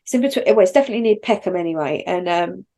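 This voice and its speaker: A woman's voice: on the low side, animated, racing, warm tone. Chatty London woman. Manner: chatty, rambling, laughs often. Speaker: podcast co-host. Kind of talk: casual chat.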